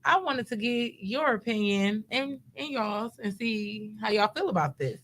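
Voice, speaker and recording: Woman in her 30s hosting a podcast, bright voice, lively and jokey, laughs a lot. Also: fairly high pitch, a little slow, slight background noise. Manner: animated, laughs often, self-deprecating. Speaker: podcast host. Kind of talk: casual podcast chat.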